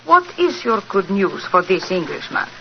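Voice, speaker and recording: An actress in 1940s radio drama playing a proud, possessive young cabaret singer with a French-flavoured foreign accent and curt broken English. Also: female voice, medium pitch, very animated, moderate pace, a little hiss or hum. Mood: suspicious. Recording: muffled old radio recording with music.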